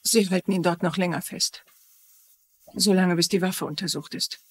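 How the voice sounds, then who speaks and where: Anxious, loyal wife. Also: female voice, lowish voice, fairly even intonation, moderate pace, breathy edge. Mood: worried. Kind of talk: dubbed TV mystery acting.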